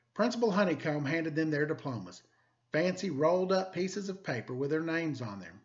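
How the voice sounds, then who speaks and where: A middle-aged man reads to children in a storytime style. His voice sits a little high for a man, and the recording is somewhat dull and lo-fi. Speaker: story reader, teacher. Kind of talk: animated children's picture book read-aloud, then casual address. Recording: dull lo-fi sound.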